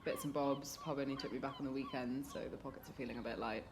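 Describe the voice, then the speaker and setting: A woman's voice: extremely low pitch, wildly swooping pitch, quick pace, noisy background, full character voice. A British woman playing a broke, frustrated young writer who is teasing and blunt in a naturalistic radio drama. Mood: guarded, discouraged.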